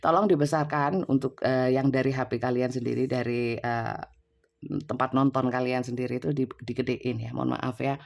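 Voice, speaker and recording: A woman's voice: a bass voice, fairly even intonation, normal speed, gravelly. A woman with a cold giving a casual tarot reading into her phone's built-in microphone. She talks loosely, with lots of fillers. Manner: chatty, many fillers and tag "ya". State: has a cold. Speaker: tarot reader. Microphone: phone's built-in mic, no external mic.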